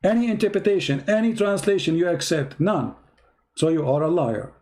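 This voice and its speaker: A man's voice: high-pitched, lively intonation, a little fast, a little mellow. A man whose first language is Arabic hosts a heated debate, mocking and pressing a caller with repeated questions. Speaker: debate show host. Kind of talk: heated live debate call.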